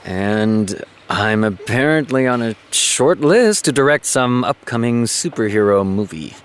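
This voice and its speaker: An actor playing a brooding male TV showrunner in an audio drama, halting and understated as he voices his doubts. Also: medium pitch, lively intonation, clear, resonant tone, lightly acted. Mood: hesitant, modest. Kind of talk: naturalistic audio drama dialogue.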